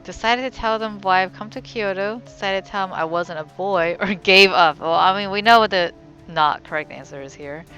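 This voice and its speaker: A woman's voice: mid-range voice, moderate pace, bright, forceful tone, muffled recording, soft background music. A woman giving casual let's play commentary, rambling and thinking aloud in a wry way. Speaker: gamer, let's play host.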